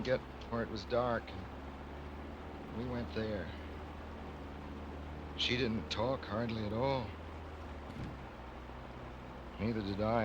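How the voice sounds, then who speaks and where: A man's voice: fairly low pitch, close to an everyday voice. An actor playing a smitten, naive young American racetrack hand, confiding his story in folksy, rambling speech in old radio drama style. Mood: confiding, rueful. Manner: colloquial, folksy, rambling first-person confiding. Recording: noisy old radio recording, vehicle noise behind.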